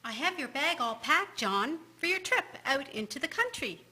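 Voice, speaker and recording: A woman playing a practical, no-nonsense wife in a period radio drama, brisk in manner. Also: sits high, big pitch swings. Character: brisk, practical, lively farm-country wife. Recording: faint noise with clicks.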